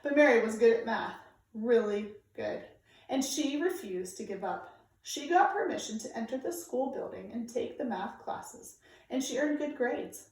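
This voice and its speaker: A woman reading aloud to children like a teacher, with clear, deliberate, emphatic phrasing. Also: fairly high pitch, expressive, a little mellow. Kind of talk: read-aloud storytelling for children, informal introduction. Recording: very echoey room, distant microphone.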